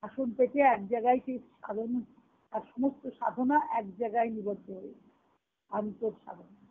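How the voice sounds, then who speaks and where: A woman's voice: on the high side, fairly even intonation, moderate pace. A woman giving a devotional discourse as a spiritual teacher, explaining reflectively and repeating key phrases. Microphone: phone conference call. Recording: tinny narrowband conference-call phone line.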